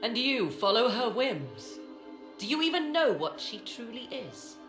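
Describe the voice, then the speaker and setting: A woman's voice: a little high, big pitch swings, a little slow. A woman playing a defiant, protective witch mother in a video game, with stern and formal delivery. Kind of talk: dramatic video game acting. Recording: roomy reverb with music behind.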